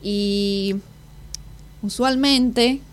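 A woman's voice: medium pitch, extremely slow, slight background noise. Woman in her twenties, hesitant. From Slovakia. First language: Slovak. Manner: hesitant, searching for words, self-correcting. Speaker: exchange student guest. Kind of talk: casual interview answers.

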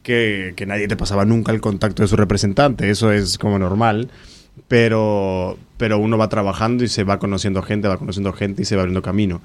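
A man's voice: fairly low pitch, little pitch movement, brisk, slight background noise. A young man from Uruguay with a mixed Uruguayan, Argentine and Mexican Spanish accent, an actor and musician speaking in a casual interview, rambling and correcting himself as he goes. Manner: rambling, self-correcting, earnest.

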